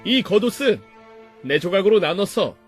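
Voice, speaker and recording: A man's voice: sits high, expressive, moderate pace, faint music behind. A man playing a gleefully cruel, sneering Roman soldier who taunts in an exaggerated way, in a children's Bible story drama. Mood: mocking glee.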